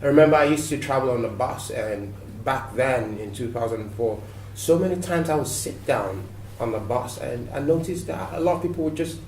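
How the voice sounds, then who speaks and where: A man from Nigeria speaks as a talk show guest at an even, middle pitch. He talks in a relaxed, reflective way and pads his answers with fillers. The sound is roomy, a little distant from the mic, with faint background hiss. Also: moderate pace. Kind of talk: interview answers. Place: TV studio.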